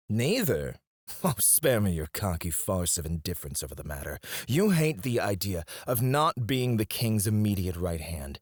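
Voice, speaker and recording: A man's voice: lowish voice, no room sound, clean studio sound. A man playing a cocky, disdainful royal alchemist in a scripted fantasy audio roleplay, speaking in a formal, haughty, theatrical way. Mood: taunting. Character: cocky, disdainful male royal alchemist, sharp-witted and proud. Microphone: close, dry studio mic.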